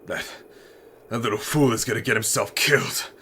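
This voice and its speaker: Stern, forceful commanding officer played by a man. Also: medium pitch, normal speed, slight background noise, close to an everyday voice. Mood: stern, resigned. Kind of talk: fantasy audio drama.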